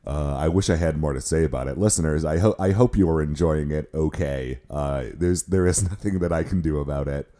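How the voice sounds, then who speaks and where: A hoarse man co-hosting a podcast, chatting casually with lots of restarts and poking fun at himself. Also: extremely low pitch, a little fast, hardly any pauses, warm tone. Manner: rambling, self-mocking, many restarts. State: hoarse from illness, voice cracks. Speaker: podcast co-host. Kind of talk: casual chat.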